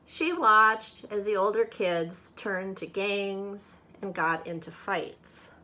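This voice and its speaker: Woman, crisp bright voice, slow pace, expressive storybook read-aloud, dramatic phrasing for children. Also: medium pitch, slight background noise. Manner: measured, dramatic phrasing for young listeners. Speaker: storybook reader.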